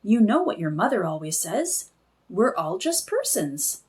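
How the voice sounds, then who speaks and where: A woman's voice: medium pitch, big pitch swings, unhurried, darkish tone, breathy edge, exaggerated voice. A woman voicing a tiny magical storybook companion, neither boy nor girl, chirpy, encouraging and reassuring. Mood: playful.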